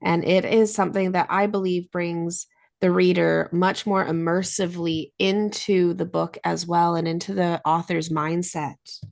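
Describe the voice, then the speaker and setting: A woman's voice: fairly low pitch, little pitch movement, unhurried, gravelly, a little mellow. A woman hosting an interview, speaking in an articulate, encouraging way as she asks questions and comments. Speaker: interviewer, host of a book show.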